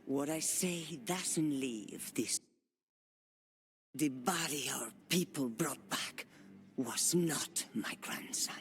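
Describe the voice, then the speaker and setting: A low, commanding elderly matriarch. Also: female voice, slow, faint music behind, full character voice. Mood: grave, secretive. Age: older. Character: stern, commanding elderly matriarch of an assassin house. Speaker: actor. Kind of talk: dramatic game cutscene acting.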